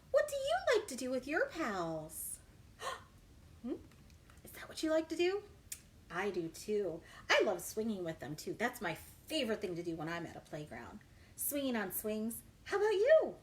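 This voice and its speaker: A woman children's librarian reading a picture book aloud in an exaggerated, animated way and talking directly to young listeners. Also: high-pitched. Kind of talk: children's read-aloud storytime. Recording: noisy livestream recording.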